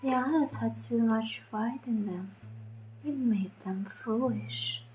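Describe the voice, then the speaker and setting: A woman voicing a haunting, ghostly young girl with a measured, condescending and quietly menacing manner in a horror game. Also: fairly high pitch, faint music behind, poor audio. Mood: eerie calm. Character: blank-faced ghostly young girl, haunting, eerily calm, commanding.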